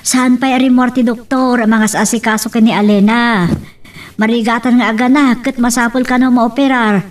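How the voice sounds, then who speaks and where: A woman's voice: on the high side, steady tone, normal speed, natural voice. Woman acting anxious Ilocano mother, slightly distant. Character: worried, devout mother of grown children. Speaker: voice actor in a drama.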